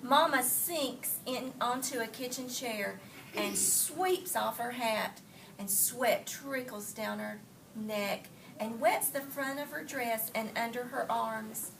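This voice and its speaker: Lady teacher reading to kids, asking questions n repeating answers, highish voice, bit far from mic. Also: slow pace, creaky. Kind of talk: interactive read-aloud to children. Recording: distant mic in a noisy room.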